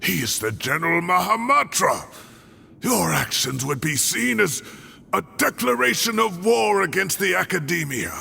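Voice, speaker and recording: An actor playing a grave, weary older professor in a video game, speaking in a halting, deliberate way with dramatic emphasis. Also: male voice, high-pitched, sing-song, creaky, bright, forceful tone, exaggerated voice. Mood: defiant, protective. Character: weary old male scholar, grave and protective. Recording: dramatic music behind the voice.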